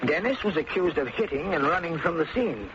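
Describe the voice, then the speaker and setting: Guy playing nervous middle-aged american bank manager, highish voice, fussy and hesitant, old radio drama w/ noisy background. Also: lively intonation, a little fast, muffled recording, close to an everyday voice. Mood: uneasy. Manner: fretful, hesitant, formal. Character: nervous, shriveled little male small-town bank manager.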